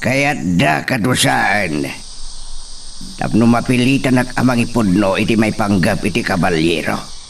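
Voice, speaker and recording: A man's voice: on the low side, lively intonation, measured pace, vocal fry. Weary old father. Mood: worried, resigned. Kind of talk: radio drama acting. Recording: distant voice, faint background noise.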